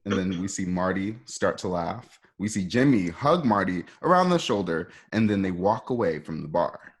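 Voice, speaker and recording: A man's voice: low voice, sing-song, normal speed, breathy edge. A man informally narrating stage directions for a radio play in a conversational, ad-libbing way.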